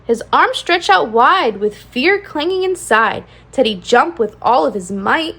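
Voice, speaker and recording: Animated high-pitched woman reading a storybook. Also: measured pace, slightly rough. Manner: singsong, rhyming cadence with expressive emphasis. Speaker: children's read-aloud channel host. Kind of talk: animated storybook read-aloud for young children.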